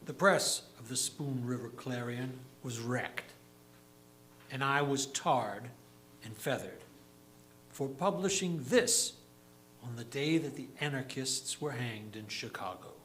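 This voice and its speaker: A man as a slow, solemn dead newspaperman. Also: medium pitch, a bit bright, a little hiss or hum, rough recording. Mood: grave, haunted. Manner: deliberate, solemn, measured phrases. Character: dead, defiant male newspaper publisher recounting a grim vision. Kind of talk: theatrical reading of verse monologue.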